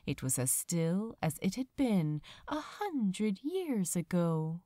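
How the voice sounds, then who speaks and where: A woman reading fan fiction aloud as a narrator, with measured, expressive storytelling delivery. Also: lowish voice, no echo, clean studio sound. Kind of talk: scripted audiobook-style narration.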